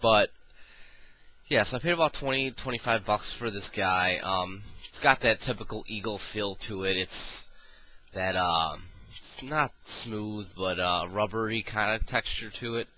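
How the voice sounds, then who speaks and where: Deep-voiced man with a stuffy nose. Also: measured pace, pushed and bright, poor audio. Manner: rambling, full of um and uh fillers. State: nasal from a cold. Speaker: product reviewer. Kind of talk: casual product review.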